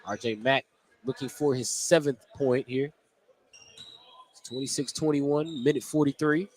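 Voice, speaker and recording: A man's voice: mid-range voice, steady tone, unhurried. A man doing live play-by-play radio commentary on a basketball game, describing the action in short, clipped phrases. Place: indoor school gymnasium during a game. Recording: noisy live gym, clicks and ball bounces. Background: loud game noise, bouncing basketball.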